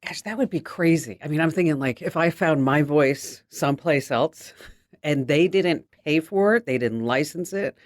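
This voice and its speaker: A man's voice: on the high side, normal speed, dry recording, studio quality. A man hosting a show, chatty and enthusiastic as he introduces a guest. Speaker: host.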